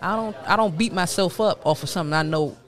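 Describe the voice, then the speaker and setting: A woman's voice: on the low side, fast talker. A woman in her late twenties talking candidly and casually as a podcast guest, using street slang and repeating herself for emphasis. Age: late 20s, turning 30. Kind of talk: candid casual interview talk.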